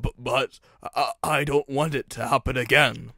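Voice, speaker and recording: A man's voice: medium pitch, steady tone, a little slow, sharp tone, dry recording, studio quality. A man playing a haunted, anxious man who stammers in agitation, in an audio storybook. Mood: pleading.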